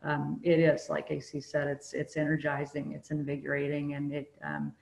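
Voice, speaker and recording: A participant in a group discussion, speaking haltingly with many fillers. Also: mid-range voice, vocal fry, a little mellow, echoey room.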